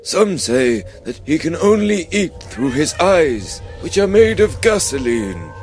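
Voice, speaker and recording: A man playing a solemn, absurdly earnest wizard guide in a comic radio play, with portentous, dramatic pauses. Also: fairly high pitch, very animated, darkish tone, soft background music.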